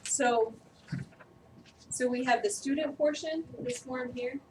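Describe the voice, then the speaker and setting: A woman with a naturally quiet voice leading a staff training session, explaining steps casually with lots of fillers. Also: high-pitched, takes it slowly. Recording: distant mic, noisy room.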